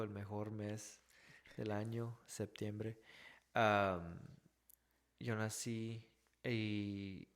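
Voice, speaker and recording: Man in his thirties, low voice, very slow, halting restarts. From Texas, USA. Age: 30s. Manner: halting, restarts often, slips into English words. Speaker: podcast co-host telling his testimony. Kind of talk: casual faith testimony.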